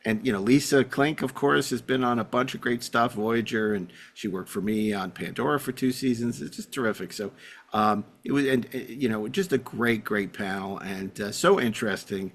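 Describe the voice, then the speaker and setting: A man's voice: mid-range voice, normal speed. Enthusiastic man hosting in an echoey hall. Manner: enthusiastic, effusive. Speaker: podcast and convention panel host. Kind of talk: unscripted hosting and guest introductions. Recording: echoey hall with long reverb.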